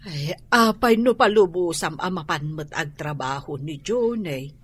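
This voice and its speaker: A worried mother in an Ilocano radio drama, standing up for her child. She speaks slowly and huskily, fretful and sighing, with an Ilocano accent. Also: sits low, expressive, breathy edge, exaggerated voice. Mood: protective.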